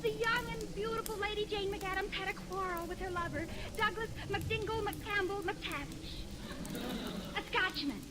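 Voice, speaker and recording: A woman's voice: extremely high pitch, normal speed. An actress playing a chatty young neighbor girl, earnest and melodramatic, in 1940s radio comedy style. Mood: spooky, melodramatic. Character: chatty, dramatic young neighbor girl telling spooky tales. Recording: very noisy vintage radio recording.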